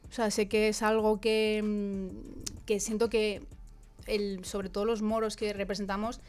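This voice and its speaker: A young woman with a Madrid accent talks in a lively, emphatic way. She often restarts her sentences as she goes. Her pitch sits in the middle of the range. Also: a little slow, soft background music. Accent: Madrid Spanish. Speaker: guest. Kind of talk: casual discussion.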